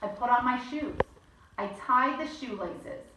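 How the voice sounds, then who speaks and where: A woman's voice: fairly high pitch, expressive, measured pace, background noise. A woman reading a children's bedtime story aloud as host, chatting between lines and acting out the characters. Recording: echoey room, mic at a distance.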